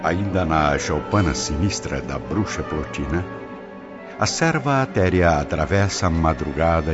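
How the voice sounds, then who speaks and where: A prominent musical score surrounds a slightly muffled recording. A man narrates dramatically in a deep voice, solemn and theatrical, with wide swings in pitch. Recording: dull lo-fi recording. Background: loud musical score.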